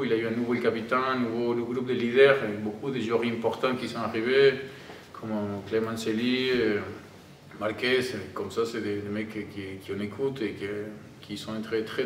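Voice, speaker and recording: A man with an Argentine Spanish accent, speaking halting non-native French as a rugby player answering press questions. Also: mid-range voice. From Argentina (Tucumán, in the north). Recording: roomy, distant microphone, audible background noise.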